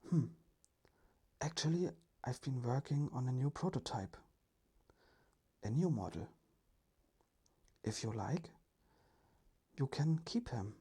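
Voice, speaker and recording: A storyteller voicing a courteous, thoughtful older male professor in a breathy ASMR storybook whisper. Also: medium pitch, expressive, slow, pauses often, warm tone, exaggerated voice.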